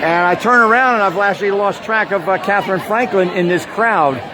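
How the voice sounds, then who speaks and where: A man walking through a campus crowd, giving halting live commentary on a protest with many "uh" fillers. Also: high voice. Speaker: protest livestreamer. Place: outdoors on a busy university campus. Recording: noisy crowd outdoors. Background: loud student crowd.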